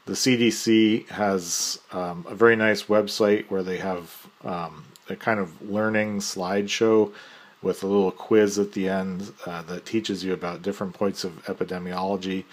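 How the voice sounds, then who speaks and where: A man from the United States talks through the explanation in an unhurried, hesitant way, stopping often to search for words. His voice sits very low. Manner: relaxed, hesitant, full of fillers. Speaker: podcast host and commentator. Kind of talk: explanatory commentary.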